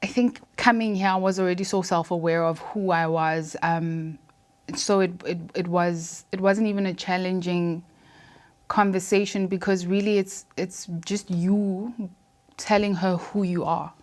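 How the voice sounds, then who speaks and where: A woman in her thirties speaks with a South African accent in a fairly low register. She answers interview questions conversationally, as a guest describing her own working life. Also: fairly even intonation, a little slow. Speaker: interview guest, air traffic controller.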